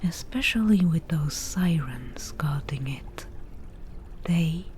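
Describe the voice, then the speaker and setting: Woman playing a slow whispering forest witch. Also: low voice, animated, slight background noise. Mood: calm, wry. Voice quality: soft breathy whisper. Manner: slow, unhurried, dryly teasing. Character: forest witch, wry, bargaining potion brewer. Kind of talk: whispered ASMR fantasy roleplay.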